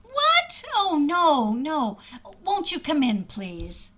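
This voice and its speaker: A woman's voice: high voice, huge pitch swings, drawn-out words, fairly bright tone, exaggerated voice. A woman acting in a 1940s-style radio drama as a flustered, lovestruck schoolteacher in her mid-thirties, hesitant and fretful, on a slightly muffled recording. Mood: apologetic, nervous. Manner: hesitant, fretful, breathlessly apologetic.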